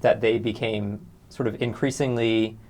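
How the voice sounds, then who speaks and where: A man's voice: on the low side, steady tone, measured pace, darkish tone. A man co-hosting a casual interview, putting questions in a hesitant, tentative way. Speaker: interviewer, co-host.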